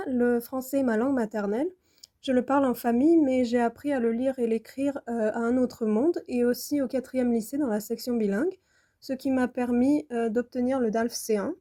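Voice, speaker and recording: A woman's voice: a little high, mellow. A woman translator giving a thoughtful personal testimony with a few fillers.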